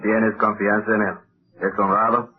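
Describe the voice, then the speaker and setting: An actor playing a wary, weather-beaten sailor of about sixty in a radio drama. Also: male voice, fairly low pitch, little pitch movement, moderate pace. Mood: suspicious. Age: 60s. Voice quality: husky. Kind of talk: old-time radio drama acting. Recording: dull lo-fi old radio, faint music.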